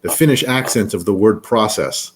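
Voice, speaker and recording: A man's voice: fairly low pitch, normal speed, noisy background. A man hosting an interview podcast, introducing his guest in a rambling way with frequent restarts. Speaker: podcast host, attorney.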